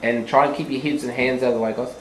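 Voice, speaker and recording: A teacher retelling a fairy tale simply and deliberately for young children, with asides to them, in a classroom. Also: mid-range voice, fairly even intonation. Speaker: teacher narrating a children's puppet show. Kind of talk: live storytelling with asides to the children. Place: school classroom. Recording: echoey room, background noise.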